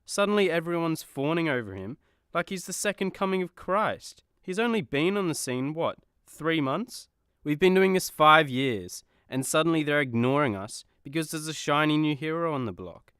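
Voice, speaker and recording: A man's voice: on the high side, animated, normal speed, fairly bright tone, clear, resonant tone, dry recording, clean studio sound. An actor playing a vain, sulky male superhero in a radio comedy, griping with indignant sarcasm. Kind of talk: comedy radio play acting.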